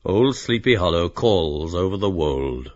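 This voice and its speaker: A man's voice: low-pitched, animated, measured pace, muffled recording, over a noisy crowd. A man reading stage directions as scripted audiobook narration.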